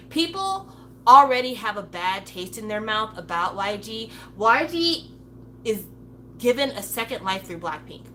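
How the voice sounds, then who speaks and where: A woman's voice: mid-range voice, sharp tone, a little hiss or hum. A woman giving a casual, blunt opinion monologue, swearing freely and breaking into playful impressions of other people. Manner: acts out playful voices of others. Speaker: commentator.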